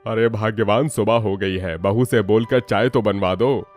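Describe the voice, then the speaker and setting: A man voicing an older, homely father-in-law who speaks expectantly in an animated family story. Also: fairly low pitch, moderate pace, faint music behind, pitched below the performer's own voice, lightly acted.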